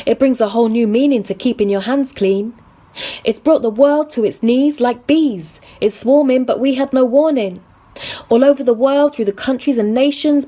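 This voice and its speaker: Higher-voiced woman performing punchy poetry. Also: warm tone. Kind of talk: spoken-word poetry with rhyme.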